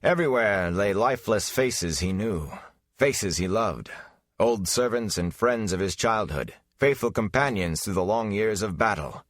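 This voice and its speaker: A man narrating a fantasy audiobook in a solemn, measured, dramatic style. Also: fairly low pitch, lively intonation, dry recording, studio quality.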